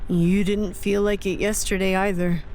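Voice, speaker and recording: An actress playing a hopeful, innocent youngster on a road journey in an audio drama. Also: female voice, mid-range voice, flat delivery, moderate pace, a little mellow, soft background music, lightly acted. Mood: matter-of-fact. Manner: innocent, eager. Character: Copper, bright-eyed, hopeful youngster.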